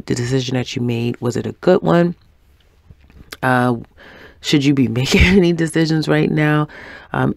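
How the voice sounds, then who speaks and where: A man with a head cold giving a weekly tarot reading, unhurried and reassuring, with many pauses and ums. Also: mid-range voice, vocal fry, a little mellow, no room sound, studio quality. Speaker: tarot reader.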